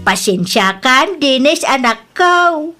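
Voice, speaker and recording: A woman's voice: high voice, expressive, slow, sharp tone, faint music behind, heavily acted. A woman playing a lovelorn, apologetic widowed mother in an audio drama, pleading in a plaintive way. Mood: ashamed, sorrowful.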